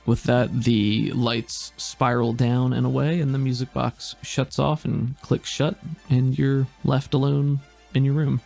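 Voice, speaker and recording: A man's voice: medium pitch, little pitch movement, a little slow, vocal fry, a little mellow, soft background music. A man narrating a tabletop role-play game as game master in a laid-back, descriptive way.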